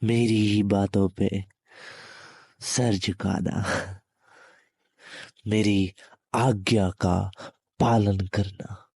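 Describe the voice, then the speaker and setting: A man playing a menacing, possessive demon in an intimate audio roleplay, taunting the listener in a halting delivery with long pauses. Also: fairly low pitch, steady tone, creaky, dark tone, slightly rough, dry recording, studio quality, heavily acted. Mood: possessive, commanding. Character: menacing, possessive male demon, captive turning predator.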